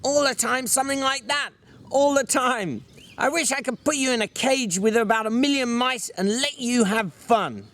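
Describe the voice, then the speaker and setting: Guy doing a fed up ranch drifter from a novel, way high voice, rants in folksy rural wording. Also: moderate pace, a bit bright. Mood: furious, exasperated. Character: small, irritable, exasperated ranch drifter man, secretly caring. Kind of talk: dramatic reading of a novel.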